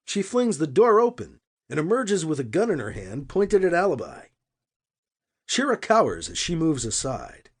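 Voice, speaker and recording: A man's voice: on the high side, wildly swooping pitch, normal speed, breathy edge. A man reading fiction aloud as an audiobook narrator, in a dramatic scripted storytelling style.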